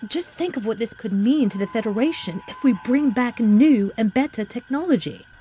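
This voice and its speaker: A woman's voice: fairly high pitch, lively intonation, normal speed, darkish tone, a little hiss or hum, close to an everyday voice. A woman playing a curious, eager starship engineer in a sci-fi audio drama, informal and inquisitive.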